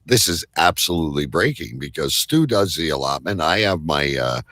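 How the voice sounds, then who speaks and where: A man's voice: rumbling low, big pitch swings, moderate pace, loud music behind the voice. A man co-hosting a show, talking in casual, conversational chat. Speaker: co-host of a live online show.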